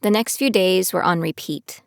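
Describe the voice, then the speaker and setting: A woman's voice: fairly low pitch, moderate pace, vocal fry, clean tone, no room sound. A woman playing a determined young female trainee who tells her own story in an audio drama, in scripted first person. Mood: reflective narration. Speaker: narrator and lead character of an audio drama. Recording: studio voice over prominent music.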